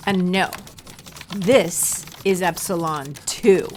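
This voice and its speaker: A woman acting an unhurried, matter-of-fact office worker in an audio drama. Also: lowish voice, a little hiss or hum.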